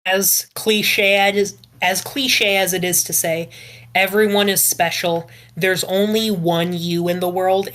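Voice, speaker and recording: A woman's voice: fairly low pitch, fairly bright tone. A woman chatting casually as a podcast panelist, speaking in an earnest, encouraging manner. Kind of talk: casual group chat.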